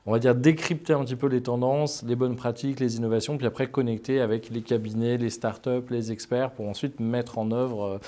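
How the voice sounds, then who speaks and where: A middle-aged man with a mellow, darkish voice, speaking on a business panel. His manner is brisk and assured, and he talks with ease about the subject. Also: mid-range voice, steady tone. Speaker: think tank head. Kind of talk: panel discussion.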